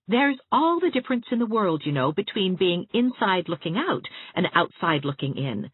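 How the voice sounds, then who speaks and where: A bubbly, eager young woman, as a woman reader puts her on, her voice climbing high and swinging all over as she coaxes and enthuses. The sound is thin and narrow, like a phone line, and dry. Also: normal speed, breathy edge, dry recording. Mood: coaxing. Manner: bright, lively, persuasive. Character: cheerful, eager young woman, warm and coaxing.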